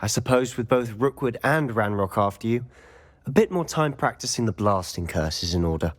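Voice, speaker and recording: A man playing a confident, mischievous teenage schoolboy wizard in a video game, with a British accent and a smooth, conspiratorial way of talking. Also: mid-range voice, expressive, moderate pace, natural voice. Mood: probing, curious. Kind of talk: video game cutscene acting. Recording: music behind the voice.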